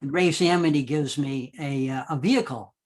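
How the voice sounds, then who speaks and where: A man's voice: medium pitch, moderate pace. A man giving heartfelt, unscripted remarks as a roundtable panelist, effusive and often repeating himself as he goes.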